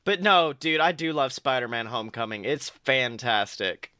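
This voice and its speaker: A man's voice: medium pitch, animated, normal speed, pushed and bright, no echo, clean studio sound. A man hosting a podcast, chatting casually with a jokey, theatrical and sometimes profane manner. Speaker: podcast host. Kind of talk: casual comedic podcast chat.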